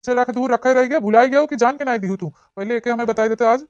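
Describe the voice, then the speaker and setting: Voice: medium pitch, quick pace, a little mellow, no room sound, highs cut off, close to an everyday voice. A cartoon voice playing an indignant, hot-tempered village husband and elder brother, scolding and questioning in an Awadhi accent from eastern Uttar Pradesh. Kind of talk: cartoon comedy dialogue.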